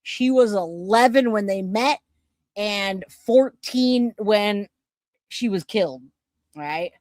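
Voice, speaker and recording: A woman in her forties chats to her livestream viewers in a casual, slangy style. She is animated and quick to joke, with a medium-pitched voice and a dry, close sound. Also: no room sound. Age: 40s. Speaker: livestream host reacting to news.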